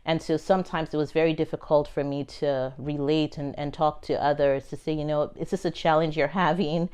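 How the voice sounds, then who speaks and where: A middle-aged woman with a Nigerian accent that carries traces of American and British speech. Her voice sits low and sounds smooth and resonant. She answers interview questions thoughtfully and earnestly. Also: little pitch movement, fairly quick, a little mellow. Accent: Nigerian, with American and British touches. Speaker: pharma executive guest.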